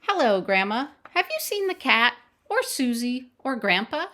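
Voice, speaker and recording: An earnest young child from a picture book, voiced by a woman, speaks in a high, swooping lilt. Every line is very polite and carefully put, with questions that rise at the end. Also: very animated, unhurried, bright, forceful tone, full character voice. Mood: earnest, curious.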